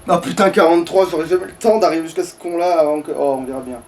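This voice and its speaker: A man's voice: a little high, fast, darkish tone. A man chatting casually and crudely as he plays through a game, often muttering. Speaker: gamer playing and commenting. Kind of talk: casual let's play chat. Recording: distant mic with background noise.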